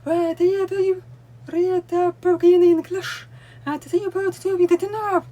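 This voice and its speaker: A woman with a Russian accent, a native Russian speaker, explaining at home in a deliberate way while she signs along. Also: very high-pitched, flat delivery, dark tone. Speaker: language learning vlogger. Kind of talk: explaining while showing books. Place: home room with bookcase, indoors.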